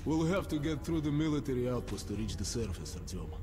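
An actor playing a seasoned Russian military commander in a video game, terse, gruff and watchful, with a Russian accent. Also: male voice, medium pitch, sing-song, fast, over loud music, close to an everyday voice. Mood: tense, commanding. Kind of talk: video game cinematic dialogue.

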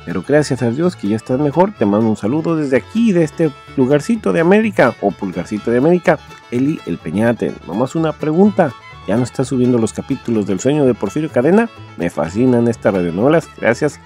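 A man's voice: medium pitch, big pitch swings, fairly quick, mellow, faint music behind. A man hosting a podcast, casually reading and answering listener messages. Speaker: podcast host.

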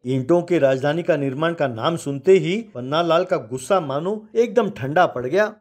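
Guy telling a kids moral story, voice a bit high, music behind him. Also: normal speed, darkish tone. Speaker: storyteller narrator. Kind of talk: scripted story narration.

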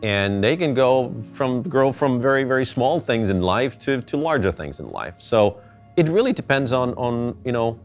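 A middle-aged man from Finland, answering interview questions thoughtfully, with halting restarts. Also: mid-range voice, very animated, faint music behind. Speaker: interview guest. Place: indoor gallery space.

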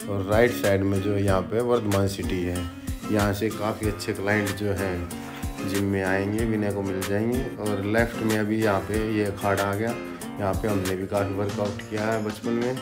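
A man's voice: fairly low pitch, normal speed, music in the background. A man in his thirties, a vlogger, casually narrating and pointing things out as he goes, filming himself outdoors at arm's length. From Haryana, India. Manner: relaxed tour-guide talk, pointing out sights. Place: outdoors on a street. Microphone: camera held at arm's length.

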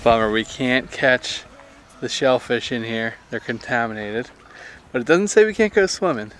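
A young man with a mid-pitched voice talks casually to camera as a travel vlogger. He sounds laid-back yet enthusiastic, using plenty of slang, with some ambient noise around him. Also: normal speed, a bit bright. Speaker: travel vlog host.

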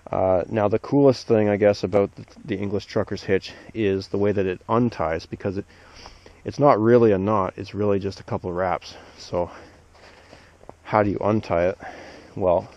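A man, an arborist instructor, calmly walking through tying a knot step by step outdoors in the snow on a head-mounted camera. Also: lowish voice, no echo. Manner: steady, plain step-by-step explaining. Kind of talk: hands-on knot demonstration. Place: outdoors among snowy trees. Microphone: head-mounted action camera. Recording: dull lo-fi camera audio.

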